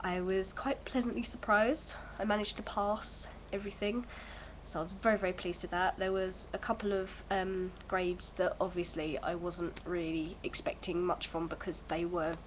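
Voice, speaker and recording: A woman's voice: on the low side, little pitch movement, moderate pace, hardly any pauses, poor audio. Young woman vlogging at home with a cold, stuffy voice, lots of ums, bit of hiss behind. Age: early 20s. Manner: rambling, hesitant, many fillers. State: bunged up from a cold. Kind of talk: casual chat to camera.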